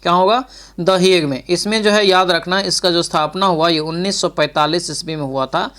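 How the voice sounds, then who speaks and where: A man's voice: high-pitched, steady tone, normal speed, fairly bright tone. A man teaches an exam-prep lesson. He reads out quiz questions, then explains the answers, repeating key points and speaking straight to his students. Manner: repeats questions and answers, addresses learners directly.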